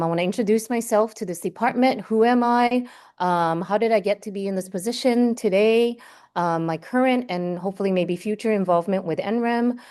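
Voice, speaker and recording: A woman's voice: medium pitch, warm tone. A woman who grew up in Hawaii, Japanese as her first language, giving a chatty seminar talk full of "um" and "like". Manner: chatty, many fillers like "um" and "like". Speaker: guest seminar speaker. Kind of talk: personal academic seminar talk.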